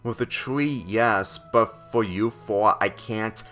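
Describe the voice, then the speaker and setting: Storybook teenage daughter, easily startled and unsure, read by a man, slow and hesitant. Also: lowish voice, fairly bright tone, soft background music. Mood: confused. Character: teenage girl, startled, doubtful, thankful daughter. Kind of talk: storybook character dialogue.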